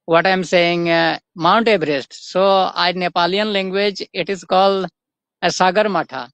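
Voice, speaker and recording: A young man from India with an eastern Indian accent, chatting casually in halting learner English and repeating words as he goes. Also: sits high, steady tone, a bit bright, smooth, dry recording. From Jharkhand, India. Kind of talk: casual practice conversation.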